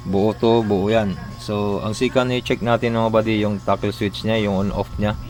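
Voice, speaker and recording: A man's voice: lowish voice, fairly even intonation, normal speed, darkish tone. A man with a Filipino accent hosting a casual hands-on repair tutorial, talking informally through each step as he works. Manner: informal, talking through steps while working. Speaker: electronics repair tutorial host.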